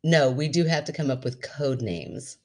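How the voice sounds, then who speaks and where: A woman in her forties co-hosting a podcast in casual chat, an animated storyteller who laughs often. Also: extremely low pitch, normal speed. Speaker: podcast co-host.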